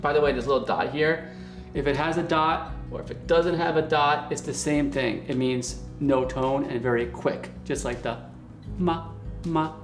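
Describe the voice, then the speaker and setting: A man teaching a beginner Mandarin lesson at a whiteboard, upbeat and encouraging, often checking in with "okay?" and slowly repeating words for learners. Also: a little high, steady tone, faint music behind.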